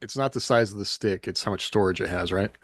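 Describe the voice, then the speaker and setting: A man's voice: fairly low pitch, quick pace, no echo. A man co-hosting a casual podcast chat, with a blunt, dry way of talking. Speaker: podcast co-host.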